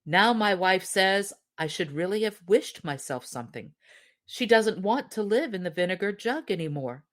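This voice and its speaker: A woman's voice: medium pitch, lively intonation, normal speed, natural voice. A woman voicing a simple, easygoing fisherman husband in a storybook, plain-spoken and reluctant. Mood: sheepish.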